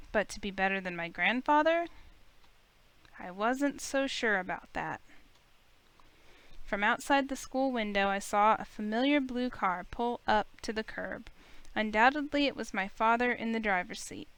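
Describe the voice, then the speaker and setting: A woman voicing a grieving high school heroine, bitter yet earnest, in visual novel narration and dialogue. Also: normal speed, a bit bright, smooth, lightly acted. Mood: earnest, doubtful.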